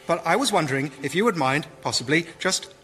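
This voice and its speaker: An actor playing a naive, jealous Moon in radio sketch comedy, earnest and wheedling. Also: male voice, fairly high pitch, expressive, brisk, sharp tone, slight background noise. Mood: earnest, aggrieved. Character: needy, jealous, naive Moon with wounded pride.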